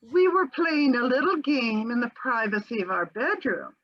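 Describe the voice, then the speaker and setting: A woman reads a breezy, evasive housewife who chatters airily. Her voice sits high and swoops widely, with a quavering, giggly edge. The sound is dull and lo-fi, like a home video call. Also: animated, normal speed. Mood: airy. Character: flighty, evasive middle-aged housewife, breezily unconcerned. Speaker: reader in an amateur readers theater performance. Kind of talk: comic readers theater scene read over a video call. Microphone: home video call mic.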